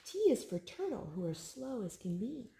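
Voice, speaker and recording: A woman hosting a children's storytime, reading picture books aloud in an expressive, sing-song way to a small child. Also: a little high, normal speed, reverberant.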